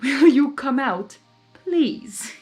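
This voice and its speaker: A woman's voice: high voice, expressive, extremely slow, darkish tone, faint music behind, pitched above the performer's own voice, heavily acted. A woman voicing a short-tempered, bossy male trickster monster with a theatrical, petulant delivery in a storybook reading. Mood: coaxing, strained.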